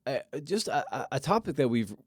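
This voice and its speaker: A man hosting a podcast interview in a casual way, halting and rephrasing as he works toward his point. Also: mid-range voice, big pitch swings, slight background noise. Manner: halting, rephrasing questions as he goes.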